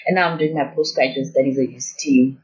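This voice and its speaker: A woman's voice: rumbling low, fairly even intonation, fast talker, darkish tone. A woman, explaining her research as an interview guest. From Zimbabwe. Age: 20s. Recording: dull lo-fi audio.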